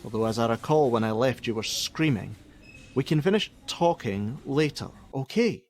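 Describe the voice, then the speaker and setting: A man's voice: medium pitch, animated, moderate pace, darkish tone, a little hiss or hum, natural voice. Man acting a middle-aged dad, sarcastic, evasive, swinging intonation, radio drama. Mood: angry, exasperated. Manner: curt, sarcastic. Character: irritable, evasive father working on a helpline. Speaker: helpline worker.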